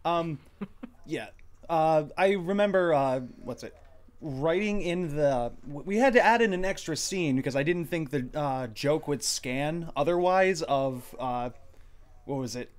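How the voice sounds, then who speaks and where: Slightly high-voiced man, casually hosting. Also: animated, unhurried. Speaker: host and project lead of fan dub. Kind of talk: casual group Q&A chat.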